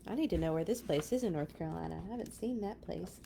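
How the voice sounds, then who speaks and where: A woman's voice: lowish voice, animated, fast, gravelly, warm tone, slight background noise. A woman reading a picture book aloud to children, with dramatic emphasis and chatty asides. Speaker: children's read-along storyteller.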